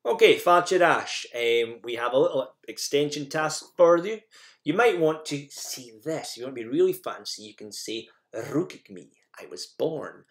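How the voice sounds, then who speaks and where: A man's voice: on the high side, expressive, moderate pace. A man presenting a language lesson in an informal, instructional manner, guiding learners through slides and examples.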